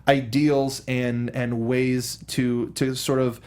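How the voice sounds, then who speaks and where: Halting, enthusiastic man talking film. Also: medium pitch, level tone, darkish tone. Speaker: co-host of a film discussion show. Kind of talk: casual film commentary.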